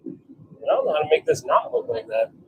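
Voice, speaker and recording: A man's voice: very high-pitched, wildly swooping pitch, moderate pace, vocal fry, darkish tone, a little hiss or hum, low-quality recording. A man chatting offhand on a livestream as he works in a workshop, grumbling and self-deprecating. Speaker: livestream host and hobby blacksmith. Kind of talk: casual live chat while working. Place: home forge workshop.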